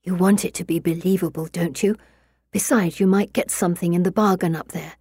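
A woman's voice: lowish voice, fairly even intonation, fairly quick, darkish tone, breathy edge, no echo, clean studio sound. A woman voicing a mischievous schoolgirl in a storybook, theatrical and persuasive. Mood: sly, brisk. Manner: pleading, theatrical, scheming. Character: bold, mischievous schoolgirl feigning tearful pleas.

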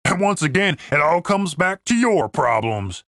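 A woman voicing a big, dim, sulky male adventurer with a husky rasp and a gruff, blunt way of talking, in a fantasy audiobook. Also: lowish voice, sing-song, normal speed, fairly bright tone, no room sound, clean studio sound. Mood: sullen, hurt. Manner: blunt, sullen.

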